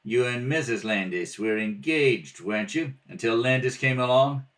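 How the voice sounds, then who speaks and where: Man acting a pushy police lieutenant, animated and distant. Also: mid-range voice, normal speed, close to an everyday voice. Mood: probing. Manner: brusque, interrogating. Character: blunt, pushy male police lieutenant grilling suspects. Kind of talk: old-time radio detective acting. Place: home kitchen table. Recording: distant home rehearsal recording.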